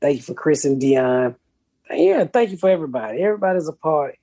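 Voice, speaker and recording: A woman's voice: animated, a little fast, warm tone. A middle-aged woman, hosting a podcast in a blunt, profane way and laughing often. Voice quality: deep voice for a woman. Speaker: podcast host. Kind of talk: casual comedic chat.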